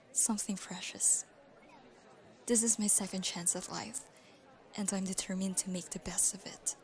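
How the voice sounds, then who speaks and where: A woman's voice: mid-range voice, close to an everyday voice. A woman playing a proud, conflicted rich woman in an amateur radio drama. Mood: reflective, determined. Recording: reverberant, with music behind.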